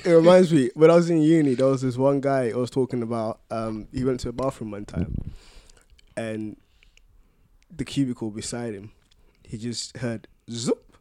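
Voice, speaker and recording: A man's voice: mid-range voice, steady tone, normal speed, mellow, no room sound. A man co-hosting a podcast, telling casual anecdotes and bantering. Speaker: podcast co-host.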